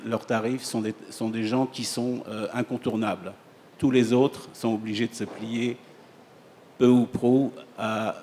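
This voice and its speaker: A man speaking on a panel in a measured way, pausing with fillers. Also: lowish voice, a little mellow. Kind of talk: panel discussion. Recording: long hall reverb with faint noise.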